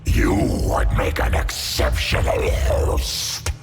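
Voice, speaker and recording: An actor voicing a menacing, solemn symbiote creature in a video game, with a gravelly, whispery growl and slow, ominous, formal speech. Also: male voice, close to an everyday voice. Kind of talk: video game character dialogue. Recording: music behind the voice.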